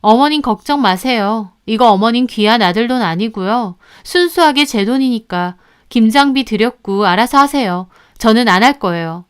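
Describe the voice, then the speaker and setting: A defiant daughter-in-law acted by a woman with a high, clear voice. She is fed up and emphatic, standing her ground in a family story drama. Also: animated, moderate pace, few pauses, close to an everyday voice. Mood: cold defiance.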